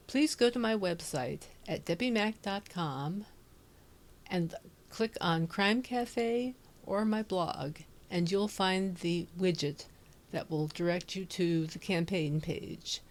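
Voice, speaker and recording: A woman's voice: fairly low pitch, slow pace. A woman hosting a podcast, giving a scripted introduction. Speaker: podcast host.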